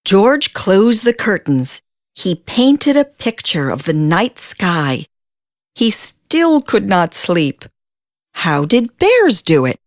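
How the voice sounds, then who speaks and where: Lady reading a kids picture book, super slow and swoopy, dry close sound, stretches key words. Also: medium pitch, sing-song, no room sound. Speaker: children's storybook reader. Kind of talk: expressive read-aloud narration for young children.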